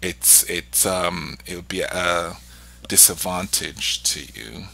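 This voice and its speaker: A man's voice: low voice, takes it slowly, creaky, rough recording. A man with a London English accent hosting a casual podcast chat, telling stories animatedly. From England. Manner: switches accents playfully, animated storyteller. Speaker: podcast host.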